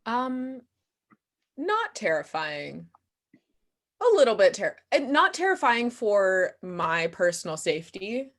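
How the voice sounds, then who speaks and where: A young woman giving casual interview answers as a guest playwright and performer, speaking in a candid, self-deprecating, animated and giggly way. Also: mid-range voice, slow. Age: young adult.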